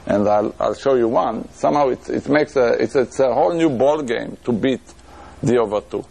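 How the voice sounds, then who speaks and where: A middle-aged man with an Israeli accent lectures in a room, his mid-pitched voice gravelly and a little husky. He thinks aloud as he goes, restarting phrases and filling pauses before pressing on. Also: darkish tone. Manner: thinking aloud with frequent restarts and fillers, occasional chuckles. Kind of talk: academic lecture on math.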